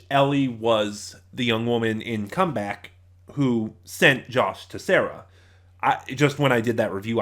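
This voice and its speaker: A man's voice: medium pitch, fairly bright tone. A man giving a casual, rambling review as a reviewer, opinionated but often hesitant and digressive.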